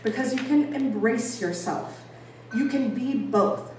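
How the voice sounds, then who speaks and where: A woman's voice: a little high, a little slow, a little mellow. Echoey American woman giving a talk. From Boston, United States. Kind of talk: informal public talk to an audience. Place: echoey indoor venue with an audience. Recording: echoey room, distant mic, faint noise.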